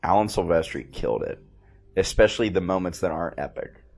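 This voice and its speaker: A man giving casual, slangy, excitable fan commentary as he watches a movie. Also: sits low, fairly quick, creaky. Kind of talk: casual film reaction commentary.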